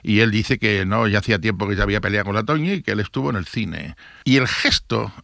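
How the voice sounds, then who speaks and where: Older man, Spain accent, quick, forceful edge, dry close sound, interview recollection. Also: lowish voice, creaky, dry recording. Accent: Spanish from Spain. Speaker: police investigator interviewee.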